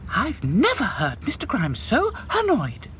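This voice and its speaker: A man's voice: very high-pitched, huge pitch swings, measured pace, fairly bright tone, slight background noise, voice pushed up, heavily acted. A man voicing a dainty, gushing princess rag doll who sighs over things. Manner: gushing, breathy sighing.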